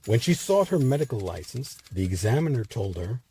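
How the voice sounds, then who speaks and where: A man narrating a scripted history documentary in a measured, expressive storytelling manner. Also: mid-range voice, slight background noise.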